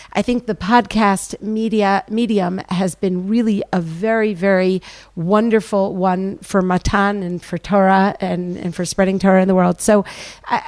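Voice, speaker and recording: A woman's voice: medium pitch, darkish tone, no room sound. A middle-aged woman, a guest Bible teacher, giving thoughtful, earnest answers with emphatic rises on key words at a live podcast taping. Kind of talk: reflective lecture-style answers. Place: live event before an audience.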